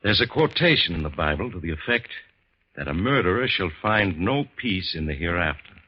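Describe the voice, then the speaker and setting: An actor playing a grave, learned doctor of the supernatural, solemn and portentous, in a 1950s radio horror drama. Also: male voice, sits low, big pitch swings, a little fast, breathy edge, exaggerated voice. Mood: grave, ominous. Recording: dull, lo-fi vintage radio recording.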